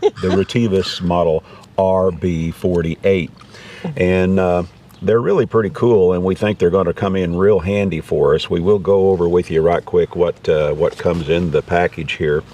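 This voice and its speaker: A man's voice: sits low, fairly even intonation, moderate pace, dark tone. A man hosting a casual, unscripted gear review in a folksy, rambling way. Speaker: video host reviewing gear. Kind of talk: casual unscripted product demonstration. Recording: distant mic, background noise.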